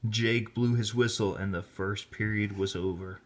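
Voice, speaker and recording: A man reading a children's story aloud in an expressive, deliberate storytelling manner, with casual asides. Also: fairly low pitch. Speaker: storybook reader, teacher. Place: indoors, filming the book held in hand.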